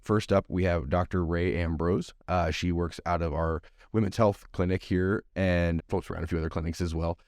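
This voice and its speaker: A man hosting a podcast, hoarse and gravelly from allergies, chatty and upbeat with a rambling flow. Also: low voice, fairly even intonation, normal speed, few pauses, darkish tone, no room sound, studio quality. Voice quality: gravelly, scratchy. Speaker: podcast host.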